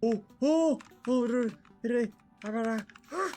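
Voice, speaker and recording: A man's voice: very high-pitched, slow pace, dark tone, soft background music. A man live streaming, chatting casually with his viewers in a rambling, playful, mock-indignant way. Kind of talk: casual chat with stream viewers while gaming.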